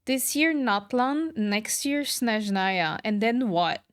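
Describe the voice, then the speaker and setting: A woman's voice: on the high side, expressive, slow, clear, resonant tone. A woman chatting casually with her viewers as she streams a game, informal and reactive to their comments. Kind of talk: casual livestream chat with viewers. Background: music behind the voice.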